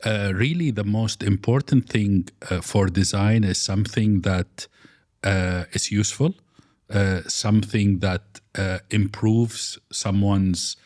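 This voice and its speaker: Middle-aged guy from iraq, real low voice, dry close sound, lots of uh and restarts. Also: slow, darkish tone, no echo. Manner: hesitant, many fillers and restarts. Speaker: architect guest. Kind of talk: conversational interview.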